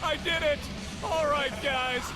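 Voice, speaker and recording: A man's voice: extremely high pitch, steady tone, a little slow, over loud music, a loud crowd. A man voicing a gleefully vengeful goth teenage outcast in a video game, theatrical, sneering and gloating. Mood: triumphant. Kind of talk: video game villain voice acting. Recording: loud game soundtrack over the voice.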